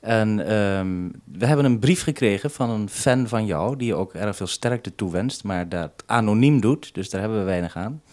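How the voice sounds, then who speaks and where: A Dutch man speaks in a fairly low voice as a radio host at a base station. He handles a two-way radio conversation in a businesslike way, following call procedure, on a 1970s recording. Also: normal speed, few pauses, a little mellow. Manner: businesslike radio procedure, ends turns with "over".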